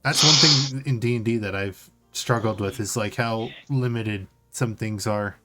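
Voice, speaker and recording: A man's voice: on the low side, a little slow, pushed and bright. Casual man chatting over background music. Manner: informal, reads notes aloud then comments. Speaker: podcast co-host. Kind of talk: casual tabletop game discussion.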